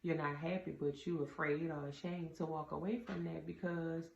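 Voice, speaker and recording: A woman with a deep voice gives casual, straight-talking relationship advice to her viewers, calling them y'all with earnest conviction. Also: level tone, moderate pace, gravelly. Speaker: vlogger giving relationship advice. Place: indoor room with a door. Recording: roomy, slightly dull, faint ambient hum.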